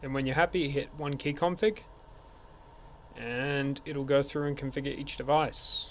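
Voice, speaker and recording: A man's voice: medium pitch, fairly even intonation, measured pace. A man narrating a hands-on technical tutorial in an informal, step-by-step way. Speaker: tech support instructor. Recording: clear background noise.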